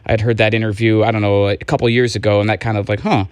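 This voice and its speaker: A man's voice: fairly low pitch, little pitch movement, fast, clean tone, no room sound. A young man hosting a radio interview from the studio, hesitant with frequent ums and restarts. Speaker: radio host. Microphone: studio microphone.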